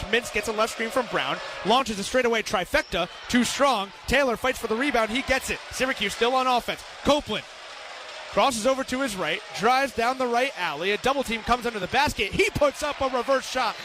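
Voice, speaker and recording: An American man doing live radio play-by-play of a basketball game, projecting like an announcer. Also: high voice. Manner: projecting over crowd, announcing. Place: courtside in an indoor basketball arena. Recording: loud arena crowd noise, bouncing balls. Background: crowd, ball bounces.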